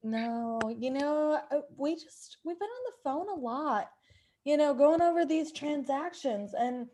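A woman's voice: high voice, lively intonation, measured pace, dark tone. A woman playing a hesitant, needy and friendly bank caller in an improvised comedy sketch. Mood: awkward, tentative.

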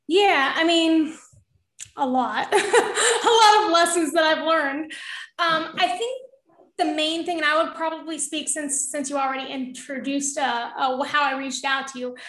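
A young woman with a high-pitched voice, a podcast guest talking casually and encouragingly, laughing often. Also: unhurried, fairly bright tone, reverberant. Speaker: podcast guest, real estate investor. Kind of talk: casual interview conversation.

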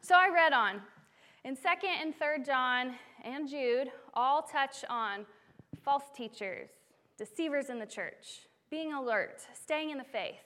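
A young woman preaching from a church stage, telling stories in an animated, self-deprecating way. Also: high-pitched, takes it slowly. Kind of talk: sermon. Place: church sanctuary.

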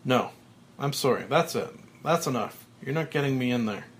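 A man reading aloud and voicing a brash male TV boss, loud, pleading and exclamatory. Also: mid-range voice, expressive, moderate pace, a little hiss or hum, natural voice. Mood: disbelieving. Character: frantic brash male TV boss facing death. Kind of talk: screenplay read aloud.

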